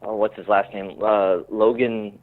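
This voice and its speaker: Low-voiced man on a phone-like line. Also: level tone. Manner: informal, self-deprecating, halting and searching for words. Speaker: screenwriter guest. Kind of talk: casual interview chat.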